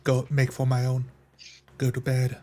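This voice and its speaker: Slow, even-toned male roleplayer. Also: medium pitch. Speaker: tabletop game player. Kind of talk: tabletop roleplay, measured in-character speech and narrated actions.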